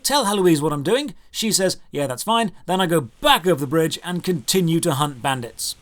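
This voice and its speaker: High-pitched man, dry sarcastic game review. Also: animated, normal speed, fairly bright tone. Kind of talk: scripted humorous game review narration. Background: game music under the voice.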